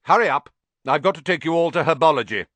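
A man's voice: lowish voice, expressive, moderate pace, a bit bright, no room sound. Snide teacher, clipped and bossy, read by a man. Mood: curt. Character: cold, curt male potions teacher.

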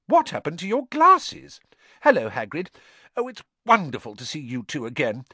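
Storybook schoolgirl, acted by a man: eager, bossy, breathlessly keen, pitched well up. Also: huge pitch swings, moderate pace, sharp tone, husky, dry recording, heavily acted.

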